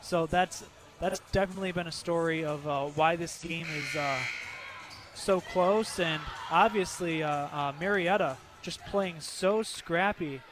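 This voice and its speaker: A man with a high voice gives live radio analysis of a basketball game. His delivery is unscripted and somewhat halting, as a color commentator reacting to play. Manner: halting, heavy with ums and uhs. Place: indoor basketball arena.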